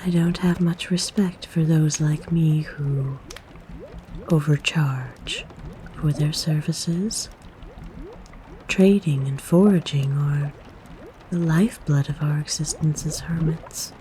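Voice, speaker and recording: A mellow, unhurried forest witch, acted by a woman, speaks softly and intimately, as if to a guest at her side. She is a nurturing, flirty healer, with a low, breathy voice made for ASMR roleplay. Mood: calm, confiding. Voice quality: soft, breathy, whispery. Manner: gentle, coaxing, intimate. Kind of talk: ASMR roleplay, soft-spoken to the listener.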